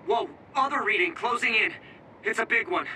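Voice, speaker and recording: A man's voice: extremely high pitch, sing-song, normal speed, over music, exaggerated voice. A man voicing an alert young soldier giving field reports in an anime-style game.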